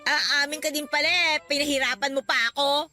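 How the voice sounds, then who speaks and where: A woman's voice: high voice, steady tone, brisk, sharp tone. An actress playing a persistent, playful young mother in a radio drama, nagging and coquettish, with music underneath. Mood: teasing, delighted. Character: persistent, teasing young mother in love with best friend.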